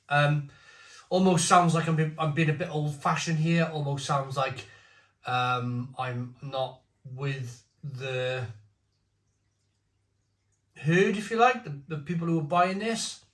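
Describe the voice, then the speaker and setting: A man in his forties giving a casual, opinionated beer review, talking bluntly in rambling asides. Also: mid-range voice. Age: 40s. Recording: roomy echo.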